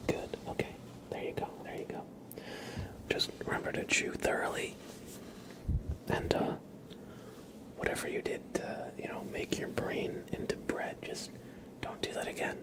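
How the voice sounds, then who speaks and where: A man hosting an ASMR livestream, speaking in soft whispers with a gentle, playful manner. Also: low-quality recording. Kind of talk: ASMR, whispered roleplay and chat with viewers.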